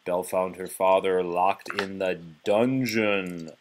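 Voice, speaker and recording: A man's voice: deep voice, fairly even intonation, slow pace, a little hiss or hum. A man reading a children's storybook aloud to a child, expressively, with the odd prompting question. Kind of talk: children's read-aloud narration.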